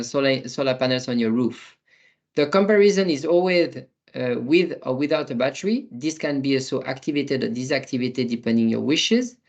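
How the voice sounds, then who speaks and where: A man, a business developer, demoing software in a webinar, speaking haltingly with small grammar slips. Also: on the high side, vocal fry, muffled recording. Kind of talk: webinar product demo.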